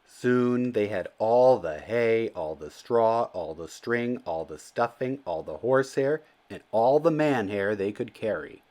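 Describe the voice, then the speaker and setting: A man reading a children's storybook aloud as narrator, in an expressive and deliberate storytelling manner. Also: on the low side. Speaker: children's book reader.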